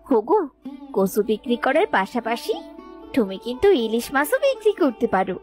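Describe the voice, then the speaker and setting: A woman performs an excitable, sweet village wife in a cartoon folk tale. Her voice is high and bouncy in pitch, coaxing and full of exclamation, over soft music. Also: moderate pace.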